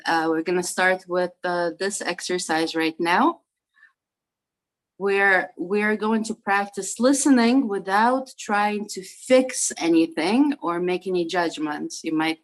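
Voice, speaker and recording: A woman's voice: lowish voice, a bit bright, low-quality recording. Woman hosting a video call meetup. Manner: friendly, explanatory, with frequent fillers. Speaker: host of an online discussion group.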